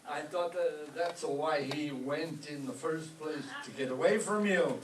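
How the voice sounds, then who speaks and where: A man's voice: fairly high pitch, a little slow, natural voice. Man as melodramatic maestro, radio comedy, mournful, wisecracking, distant echoey recording, faint noise. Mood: wry. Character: melodramatic, wisecracking, put-upon old maestro. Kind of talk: old-time radio comedy acting on stage.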